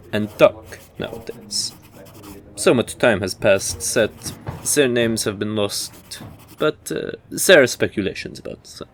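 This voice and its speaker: A man playing a formal history professor in an audio roleplay, lecturing in a measured, deliberate manner. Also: on the low side, a little hiss or hum. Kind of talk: roleplay audio drama acting.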